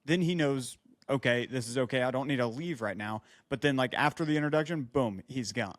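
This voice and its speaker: A man's voice: mid-range voice, brisk, no echo. A man co-hosting a podcast in casual, conversational talk. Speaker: podcast co-host. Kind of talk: casual chat.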